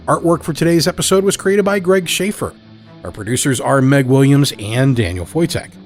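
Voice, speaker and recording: A man reading scripted closing credits as the host of a fiction podcast. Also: mid-range voice. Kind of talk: scripted outro credits narration.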